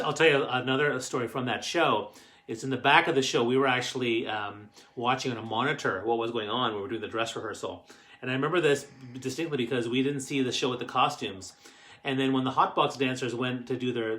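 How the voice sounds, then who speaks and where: A middle-aged man with a Canadian accent, telling personal stories casually to camera in a rambling, halting way. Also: mid-range voice, fairly bright tone. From Canadian Maritimes. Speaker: host of a family stories show.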